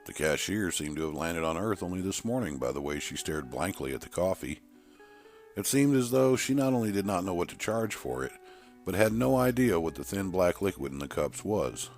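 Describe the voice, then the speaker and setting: A man's voice: low-pitched, animated, moderate pace, a little mellow, soft background music. A man reading a story aloud as dramatic, scripted narration. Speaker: storyteller narrating horror fiction.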